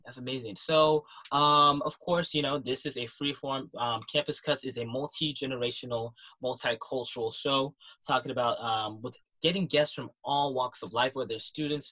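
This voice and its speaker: Host, man, eager, bright, slightly raspy, filler-heavy delivery. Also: medium pitch, rough recording. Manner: enthusiastic, many fillers. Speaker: podcast host. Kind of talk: casual interview.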